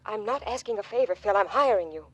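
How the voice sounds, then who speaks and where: Casting: a frightened, pleading young American socialite, husky and breathy, in vintage radio thriller style. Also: female voice, mid-range voice, animated, quick pace, fairly bright tone, poor audio. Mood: scared, pleading. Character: frightened, urgent young glamour woman seeking help. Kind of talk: 1950s radio drama acting.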